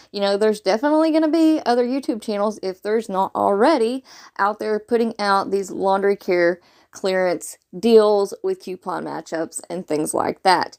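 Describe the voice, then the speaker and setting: A woman hosting a couponing channel, talking to viewers in a chatty, upbeat, friendly way while her throat is sore from allergies. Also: medium pitch. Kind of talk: casual vlog talk to viewers.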